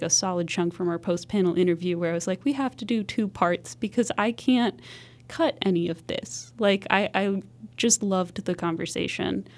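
A woman's voice: on the low side, darkish tone. A young woman from the United States hosting and producing a university podcast, reading credits and chatting with a co-host. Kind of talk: casual chat and scripted outro.

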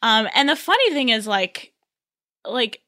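Bright, chatty young woman director. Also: a little high, very animated, a little slow. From Southern California. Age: 20s. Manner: chatty, laughs often, many fillers and restarts. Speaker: guest, film director. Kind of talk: casual interview chat.

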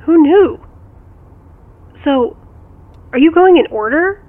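An actress playing a teasing, chatty friend on a phone call in a naturalistic audio drama. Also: female voice, high-pitched, expressive, slow pace, dry recording. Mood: excited, curious. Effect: phone filter. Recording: tinny narrow phone-call sound.

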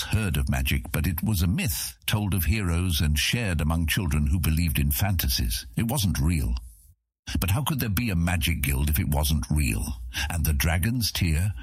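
A man reads a fantasy story in a very deep, husky voice. His measured, dramatic delivery moves expressively up and down in pitch. The sound is close and dry, as in an audiobook. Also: no room sound. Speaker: audiobook narrator. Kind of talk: scripted fantasy story narration.

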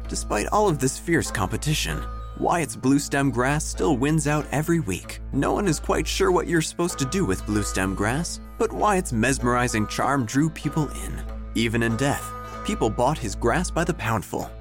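A male narrator tells a scripted comic story with measured, theatrical delivery. His pitch moves in lively swings, dryly whimsical, over soft music.

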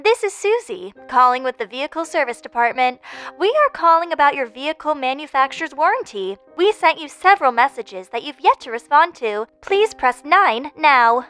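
A woman's voice: high voice, expressive, moderate pace, pushed and bright, faint music behind. A woman voicing a chirpy automated telemarketer in a scripted spam robocall. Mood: chirpy, insistent.

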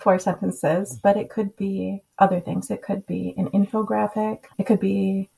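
A woman from Minnesota, a Spanish teacher as a podcast guest, talking in a relaxed, conversational way. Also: medium pitch, moderate pace, creaky, poor audio. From Minnesota, United States. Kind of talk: podcast interview.

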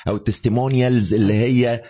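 A man's voice: medium pitch, steady tone, very fast, dark tone, highs cut off. A man with an Egyptian Arabic accent, a business trainer explaining ideas as a guest, deferential, laughing often and mixing in English terms. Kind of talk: explanatory interview talk.

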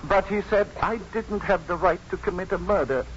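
Male actor as mild, plain-spoken ex-convict, 1950s radio play. Halting delivery, higher register, light hiss. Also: natural voice. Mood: deadpan, mild. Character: slow, simple, mild-mannered male ex-convict, earnest storyteller. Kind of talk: 1950s radio drama acting. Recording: muffled, noisy 1950s radio broadcast.

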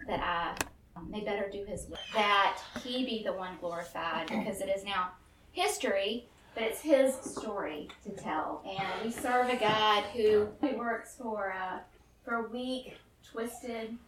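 A woman's voice: mid-range voice, measured pace. A woman, sharing a nervous, earnest personal testimony before a live audience and laughing often. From Memphis, Tennessee, US. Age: 30s. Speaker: guest storyteller. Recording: roomy and distant with faint room noise.

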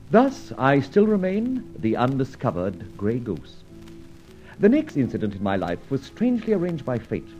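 An actor playing a suave, breezy gentleman adventurer in an old radio serial, jaunty and clipped in his phrasing. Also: mid-range voice, lo-fi sound. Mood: wry, reflective. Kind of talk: old radio serial acting with first-person narration.

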